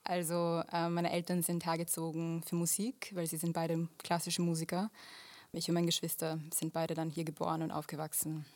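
A young woman from Vienna speaks in a radio studio. She answers thoughtfully, pausing and rephrasing as she searches for words. Her voice sits low and stays fairly level, close and dry. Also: monotone, a little mellow, no echo. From Vienna, Austria. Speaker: musician guest.

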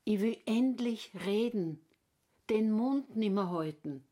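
A woman declaiming poetry from a stage in a defiant, forceful way. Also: medium pitch, lively intonation, slow. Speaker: stage performer.

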